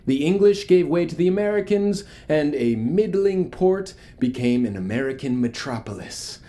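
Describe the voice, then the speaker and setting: An actor playing a charismatic, ominous cult leader in a horror audio drama, preaching grandiosely with rhetorical pauses. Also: male voice, a little high, a little mellow, lightly acted. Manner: theatrical, grandiose, pausing for rhetorical effect.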